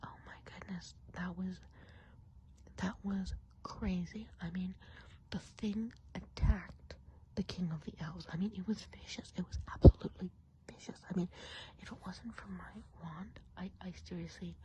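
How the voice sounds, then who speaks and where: A woman voicing a chatty, gossipy witch of the woods in an ASMR roleplay, speaking in a soft breathy whisper and confiding in the listener. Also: lowish voice, steady tone, moderate pace, noisy background, natural voice. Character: chatty gossipy woodland witch planning enchanted festival.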